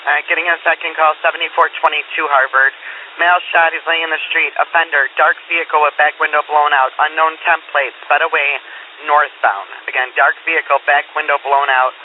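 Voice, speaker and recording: A male police dispatcher comes through a tinny, narrow radio channel with faint hiss. His voice sits a little high, and he relays codes and details in a clipped, procedural manner. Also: little pitch movement, a little fast. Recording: hissy phone-like police scanner radio, narrow band.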